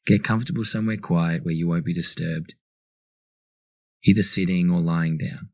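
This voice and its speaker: Male narrator for guided meditation. Deep, soft dark timbre, calm and soothing delivery. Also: moderate pace, dry recording.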